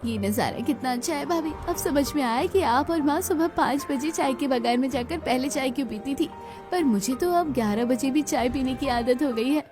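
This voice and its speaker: Young, eager, helpful daughter of the house, higher voice, cartoon drama, female actor, music underneath. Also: normal speed, lightly acted. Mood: cheerful. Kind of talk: Hindi cartoon story dialogue.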